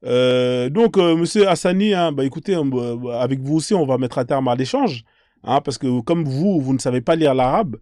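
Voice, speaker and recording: A man's voice: mid-range voice, lively intonation, normal speed, warm tone, dry recording, studio quality. A man hosting a live online debate, polite but insistent, repeating his requests to callers.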